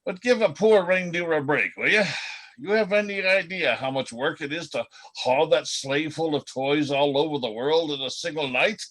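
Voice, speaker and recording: A man's voice: fairly high pitch, normal speed, a bit bright. An older man playing a weary, good-humored retired reindeer in a radio-style play, with a wry, conversational manner. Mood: weary complaint. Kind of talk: radio-play style readers theatre acting.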